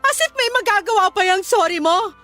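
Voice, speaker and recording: Radio drama, heartbroken young Filipina girlfriend: very high pitch, furious, anguished, over faint music. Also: expressive, a little fast, bright, forceful tone, full character voice. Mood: indignant, scornful. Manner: scolding, anguished, emotionally intense. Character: betrayed, furious, heartbroken young woman leaving her cheating boyfriend.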